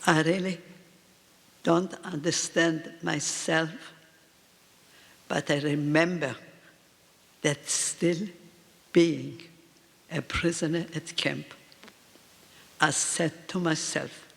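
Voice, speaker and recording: A woman in her eighties, a native Polish speaker, speaking in slow, halting short phrases as a guest at a live talk in a reverberant hall. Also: sits low, steady tone, gravelly. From Poland. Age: 80s. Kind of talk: answering on stage in a live talk. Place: event hall with audience.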